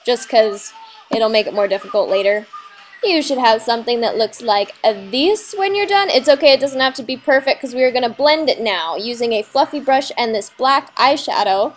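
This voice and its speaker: A young woman with a fairly high voice walks through a how-to tutorial in a breezy, informal manner. She sounds slightly under the weather, as if her voice is starting to give out. Her phrasing is slangy and casual, like talking to friends. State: slightly sick, voice giving out. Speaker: makeup tutorial host.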